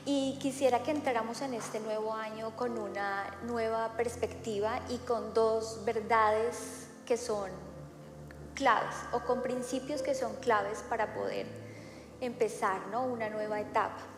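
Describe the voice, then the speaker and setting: Thirtyish woman preacher with a somewhat high voice, talking casually from the pulpit, recorded with heavy room echo. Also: steady tone, a little slow, over music. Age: 30s. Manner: conversational, frequent filler "eh" and "¿no?". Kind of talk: sermon. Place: church hall. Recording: echoey hall with long reverb.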